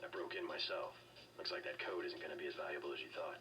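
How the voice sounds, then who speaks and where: A man playing a cool, curt, unimpressed secret agent in video game dialogue, delivered deadpan. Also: a bass voice, fast. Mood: dry, dismissive. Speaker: game character voice actor. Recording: slightly distant game audio, loud music behind.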